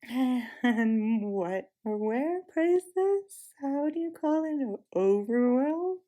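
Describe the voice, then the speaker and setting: A woman voicing a sly witch queen who speaks in a soft, sweet, quiet and musical way, coaxing and half-mocking, in a storybook reading. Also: high-pitched, lively intonation, slow, voice pushed up.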